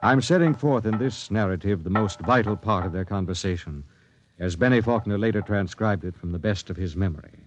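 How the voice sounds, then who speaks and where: An actor playing a stern, authoritative space captain who speaks with measured dignity in a 1950s radio drama. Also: male voice, lowish voice. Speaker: captain and narrator. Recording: dry, band-limited 1950s radio recording.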